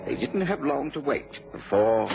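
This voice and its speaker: An actor playing a loyal, earnest British gentleman, companion and narrator in a mid-century radio detective drama. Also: medium pitch, big pitch swings, a little slow, vocal fry, music in the background. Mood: measured, narrating. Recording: dull old radio recording.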